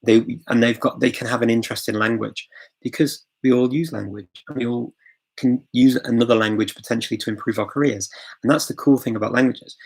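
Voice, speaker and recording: A man's voice: medium pitch, steady tone, fairly quick, creaky, a little mellow, slightly rough, rough recording. A man hosting a casual live-stream Q&A, chatty and full of fillers, chuckling often and greeting viewers as they join. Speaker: host of a live language-learning Q&A. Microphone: phone.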